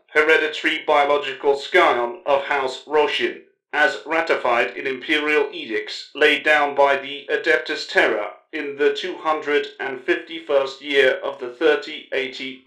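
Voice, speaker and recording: A man's voice: fairly high pitch, measured pace, bright, forceful tone, reverberant, pitched above the performer's own voice, low-quality recording. A man voicing an aloof, precise cyborg tech-priest who speaks in formal, pedantic recitals. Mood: clinical.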